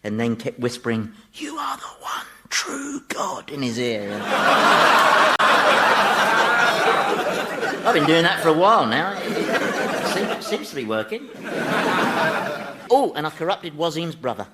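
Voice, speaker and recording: An actor playing a mischievous, wry Satan, chatty and mock-indignant, in radio sitcom style. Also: male voice, fairly high pitch, huge pitch swings, normal speed, a loud crowd, very noisy. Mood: gleeful, smug. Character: Satan, gleeful mischievous male ruler of Hell. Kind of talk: radio sitcom acting before an audience.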